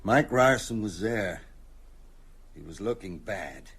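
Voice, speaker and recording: An actor playing a frightened, haunted man in an audio drama, insistent and urgent, with halting delivery. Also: fairly low pitch, lively intonation, a bit bright, slightly rough, soft background music, full character voice. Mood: haunted, hushed. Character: frightened older male teacher, insistent, haunted, wryly self-mocking.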